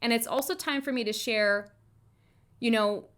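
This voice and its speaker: Female podcast host, slightly high register, motivational coaching talk, informal direct address with emphatic repetition. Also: normal speed, fairly bright tone. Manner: emphatic, repeats phrases for emphasis.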